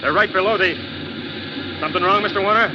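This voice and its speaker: An actor playing a folksy, matter-of-fact ship's officer in an old radio drama. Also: male voice, high-pitched, quick pace, close to an everyday voice. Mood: brisk. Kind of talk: 1940s-50s radio drama acting. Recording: dull, noisy vintage radio recording.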